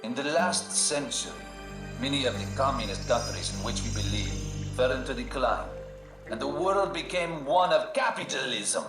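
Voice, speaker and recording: A man's voice: medium pitch, animated, moderate pace, natural voice. A man playing a grave militant reciting a scornful, declamatory anti-capitalist manifesto. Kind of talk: theatrical political speech. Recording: roomy echo, music behind.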